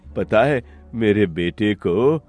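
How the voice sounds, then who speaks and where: A man's voice: fairly low pitch, lively intonation, slow, darkish tone, faint music behind. A man voicing a hardworking, affectionate village father who runs a roadside eatery in a cartoon story. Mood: friendly.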